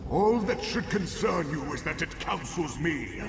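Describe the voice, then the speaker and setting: An actor playing an arrogant, vengeful undead villain in a fantasy video game, gravelly, imperious and theatrical. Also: male voice, on the high side, lively intonation, unhurried, noisy background. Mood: cold, imperious. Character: arrogant, vengeful undead male villain wielding a cursed blade. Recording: loud music behind the voice.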